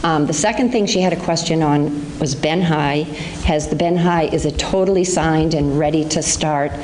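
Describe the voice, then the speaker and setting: A woman's voice: low voice, normal speed, a little mellow, poor audio. A woman making a plain-spoken, hesitant public comment at a town meeting. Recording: local-access meeting recording with faint noise.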